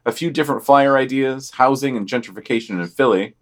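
A man's voice: mid-range voice, a little fast. A man reading news summaries for a podcast, with dry, offhand comments on each item.

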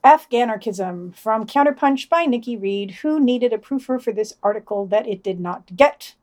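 A woman's voice: fairly high pitch, animated, normal speed, a little mellow. A woman co-hosting a podcast, reading scripted news in a dry, sardonic way with wry, opinionated asides.

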